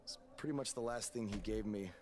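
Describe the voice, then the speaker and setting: A man acts as an awkward, earnest wrestling hopeful in a game cutscene. His voice is somewhat low, with a hesitant, polite, understated delivery over faint noise. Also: brisk, darkish tone, natural voice. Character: earnest, awkward young male aspiring wrestler. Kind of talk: video game cutscene acting.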